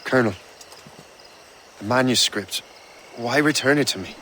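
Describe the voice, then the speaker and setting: An actor playing a wary, self-reliant fighter in a video game, terse and serious in delivery. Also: male voice, medium pitch, moderate pace, sharp tone, slight background noise, close to an everyday voice. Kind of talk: video game dialogue acting.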